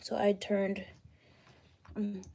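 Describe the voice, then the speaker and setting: A woman in her late thirties talks into her phone at home, loose and chatty as she answers viewers' questions. Her voice is mellow and dark, with a gravelly fry running through it. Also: mid-range voice, extremely slow. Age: 30s. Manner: chatty and relaxed, thinking aloud while doing her hair. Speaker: realtor livestreaming a Q and A. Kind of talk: casual livestream chat. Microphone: phone camera.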